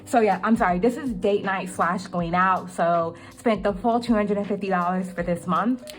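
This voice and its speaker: A young woman talks casually through her monthly budget, chatty and full of ums. Her mid-pitched voice is slightly cracked today. Faint music plays softly under her voice. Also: moderate pace. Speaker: budgeting vlogger.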